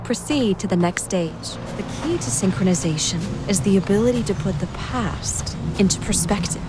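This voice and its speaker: Woman as a calm, clinical test guide. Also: medium pitch, lightly acted. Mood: clinical, polite. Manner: soothing, measured, formal. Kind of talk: sci-fi video game voice acting. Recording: clear engine hum behind.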